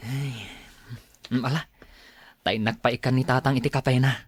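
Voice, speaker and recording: Dutiful adult son played by a man, brisk and attentive, dry studio sound, audio-drama caregiver role. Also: mid-range voice, steady tone, breathy edge, dry recording, close to an everyday voice. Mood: concerned. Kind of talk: Ilocano audio drama acting.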